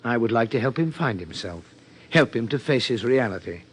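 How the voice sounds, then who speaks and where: Halting, husky, scholarly psychiatrist. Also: male voice, medium pitch, lively intonation. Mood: earnest, absorbed. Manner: halting, formal, deliberate phrasing. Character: earnest, hesitant, scholarly male psychiatrist. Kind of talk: 1950s radio drama acting.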